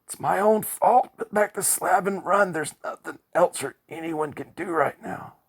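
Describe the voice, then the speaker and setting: A man's voice: on the low side, fairly even intonation, measured pace, husky. A man voicing a terrified man trapped underground, pleading in a panicked, breathless rush. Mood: desperate pleading.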